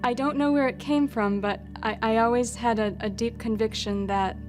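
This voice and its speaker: A woman's voice: fairly high pitch, fairly even intonation, moderate pace. A woman from Wisconsin, a nun, speaking reflectively in an interview, with small restarts. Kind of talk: interview testimony. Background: soft music.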